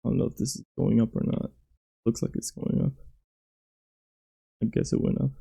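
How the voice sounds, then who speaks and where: A hoarse man talks through his own game level as he plays it, halting and self-critical and full of fillers. His voice is very dark and gravelly, with a heavy fry at the bottom. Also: mid-range voice, fairly even intonation. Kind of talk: gameplay commentary.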